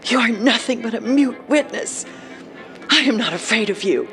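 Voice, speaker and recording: High-pitched defiant battered wife. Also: female voice, lively intonation, normal speed, soft background music. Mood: defiant, desperate. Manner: forceful, defiant declaiming. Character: battered wife defiantly confronting a dark figure. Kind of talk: horror audio drama.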